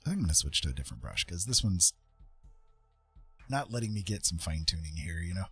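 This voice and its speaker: A man with a husky voice hosting a casual livestream, rambling along in folksy, welcoming patter as he chats. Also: low-pitched, very animated, fast, a bit bright, no room sound, faint music behind. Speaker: livestream host and artist. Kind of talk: casual chat while streaming digital art.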